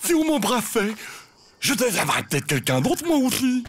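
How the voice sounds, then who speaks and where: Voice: medium pitch, big pitch swings, normal speed, breathy edge. An actor playing a forlorn, childlike creature sidekick in a French cartoon, plaintive and hesitant.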